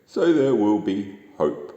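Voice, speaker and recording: Man voicing an earnest, slow-spoken moon dog. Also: on the low side, very animated, mellow, dull audio. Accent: New Zealand. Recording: echoey room with long reverb.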